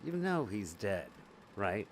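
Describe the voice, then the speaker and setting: Casting: male actor for soft-hearted, unsure family man in an audio drama; lively intonation, slight background noise. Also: lowish voice, slow. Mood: weary, downcast. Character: gentle, self-doubting married father and fading performer.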